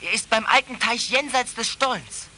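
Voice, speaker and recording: Young boy lookout calling in, highish bright voice, kids audio drama. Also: moderate pace, a little hiss or hum. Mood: alert. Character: dutiful young boy scout reporting by walkie-talkie. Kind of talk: German children's radio play acting.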